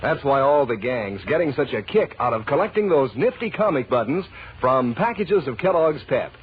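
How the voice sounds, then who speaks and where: A man's voice: medium pitch, sing-song, moderate pace, phone-quality sound, slight background noise. An American man announcing a 1940s radio advertisement with enthusiastic, folksy salesmanship.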